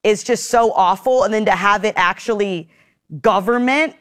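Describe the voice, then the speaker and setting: A woman's voice: medium pitch, normal speed, smooth. A young woman on a panel show, laying out her opinion in a firm, argumentative way. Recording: light crowd noise behind.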